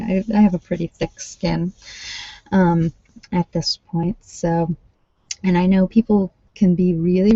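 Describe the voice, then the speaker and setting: A woman chatting casually and informally to a live online audience, rambling a little as she thinks aloud. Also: medium pitch. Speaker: ASMR creator hosting a live workshop session with tarot readings. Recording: muffled, roomy sound.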